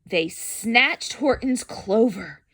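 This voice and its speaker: High-voiced, unhurried woman storyteller. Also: breathy edge. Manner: expressive, commenting to young listeners. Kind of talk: reading a children's picture book aloud.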